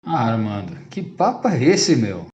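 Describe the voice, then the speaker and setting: A man's voice: medium pitch, big pitch swings, unhurried, a little mellow. A man with a Brazilian accent playing a blunt, slangy middle-aged friend in a radio drama, in an echoey, muffled recording. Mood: surprised, curious. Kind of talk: radio drama acting, casual bar chat. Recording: echoey, dull muffled room sound.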